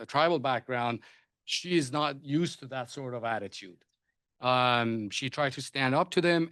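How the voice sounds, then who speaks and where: A man's voice: medium pitch, fairly bright tone, no room sound. Guy moderating a panel, persian native, lots of uhs and restarts, mid voice, dry close sound. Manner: hesitant, many restarts and fillers. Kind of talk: panel discussion.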